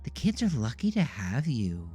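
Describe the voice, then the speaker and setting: A man voicing an earnest, enthusiastic young woman, a kind art teacher in an audio drama, with a bright, admiring manner. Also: mid-range voice, wildly swooping pitch, moderate pace, soft background music, voice pushed up. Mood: warm admiration. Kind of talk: audio drama character dialogue.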